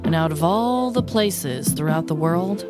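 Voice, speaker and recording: A woman co-hosting a podcast, telling stories in an informal, expressive way. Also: low-pitched, unhurried, over loud music. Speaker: podcast co-host. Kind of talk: casual chat and scripted storytelling.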